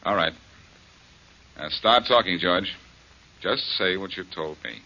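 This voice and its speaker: A man's voice: sits low, unhurried, sharp tone, full character voice. An actor playing a tough, sardonic male detective who threatens and wisecracks, in 1940s radio drama style. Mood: menacing. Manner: menacing, sardonic. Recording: dull old radio broadcast.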